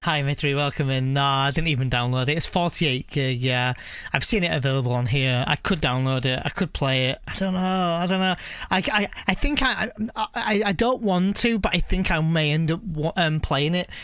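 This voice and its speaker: Casting: male streamer, slightly high, very clear voice, rambling casual live chat. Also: normal speed, a bit bright, no room sound. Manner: rambling, musing aloud. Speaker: game streamer.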